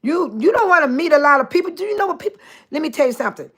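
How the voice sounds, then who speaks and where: A woman's voice: high-pitched, animated, rapid-fire, fairly bright tone. Older woman host, 60s, casual livestream banter, frequent laughter, talks straight to her audience. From Chicago area. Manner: laughing often, chatty, talking directly to viewers by name. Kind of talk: casual livestream chat reading viewer comments.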